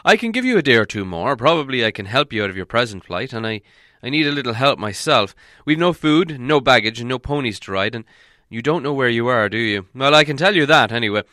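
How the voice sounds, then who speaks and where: A man's voice: mid-range voice, lively intonation, quick pace, bright, forceful tone, dry recording, clean studio sound. A man voicing a wise, self-assured old wizard who speaks in a raised, emphatic way and stumbles over some restarts. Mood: earnest.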